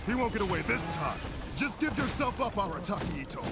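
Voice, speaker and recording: A man's voice: high voice, big pitch swings, brisk, vocal fry, exaggerated voice. A man voicing a zealous soldier giving orders in a video game. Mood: commanding. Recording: loud music over voice.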